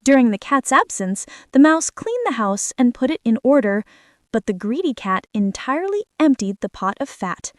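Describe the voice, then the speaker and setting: A woman storyteller reading a fairy tale to children in expressive scripted narration. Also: a little high, normal speed, no echo, studio quality.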